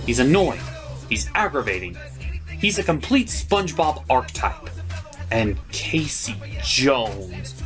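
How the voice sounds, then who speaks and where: A performer voicing an opinionated puppet gentleman who hosts scripted comedy cartoon reviews in a blunt way. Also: mid-range voice, big pitch swings, takes it slowly, over music. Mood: exasperated. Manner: blunt, exasperated, swears freely.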